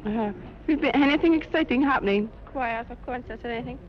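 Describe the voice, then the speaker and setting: A woman's voice: fairly high pitch, quick pace, muffled recording, a little hiss or hum. A young woman chatting casually, recorded on location in the 1950s. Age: young adult. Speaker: youth club visitor. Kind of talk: casual chat. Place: hospital ward.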